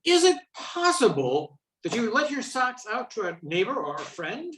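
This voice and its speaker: Eager agent played by an older woman: animated, emphatic, mock-serious radio comedy, roomy sound. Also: fairly high pitch, normal speed. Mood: brisk, official. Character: eager, earnest agent on a trivial household case. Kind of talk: amateur comic radio play acting.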